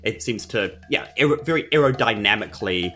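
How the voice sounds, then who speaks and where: A man's voice: lowish voice, animated, brisk, pushed and bright, soft background music. A man with a New Zealand accent narrating his character's moves in a tabletop game, in casual, hesitant phrasing. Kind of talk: casual improvised roleplay narration.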